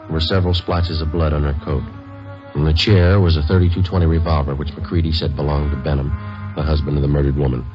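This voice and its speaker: Terse police detective, very deep voice, dry and factual, vintage radio crime drama, muffled sound. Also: male voice, fast talker. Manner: clipped, deadpan, matter-of-fact. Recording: dull old radio recording, music behind.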